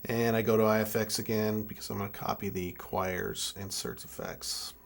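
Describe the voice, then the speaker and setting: A man's voice: on the low side, fairly even intonation, creaky. Young man talking through a hands-on tutorial. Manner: halting, thinking aloud while working. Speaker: music keyboard tutorial presenter. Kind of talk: casual hands-on software tutorial.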